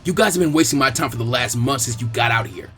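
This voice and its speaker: A man voicing a stern, angry male ninja commander, gruff and coarse, in a story reading. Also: mid-range voice, fast talker, fairly bright tone, soft background music, pitched below the performer's own voice, lightly acted. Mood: stern anger.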